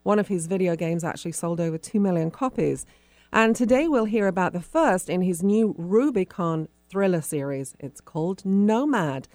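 Female radio interviewer, slightly low voice, conversational, very dry studio sound. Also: expressive, moderate pace, a little mellow, no room sound. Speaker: radio talk show host.

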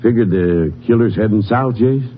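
A man's voice: lowish voice, normal speed, close to an everyday voice. A man playing a plain-spoken, laconic, folksy small-town sheriff in a 1950s radio western. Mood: matter-of-fact. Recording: tinny, noisy 1950s radio recording.

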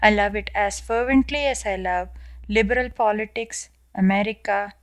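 A woman in her thirties from South India with an Indian accent, reading her own scripted personal essay in a measured, earnest way. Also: medium pitch, no echo. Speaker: podcast essayist. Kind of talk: first-person scripted narration.

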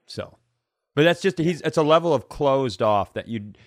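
Male co-host, crude teasing comic banter, mid-pitched voice recorded dry. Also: lively intonation, normal speed, dry recording. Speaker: podcast co-host.

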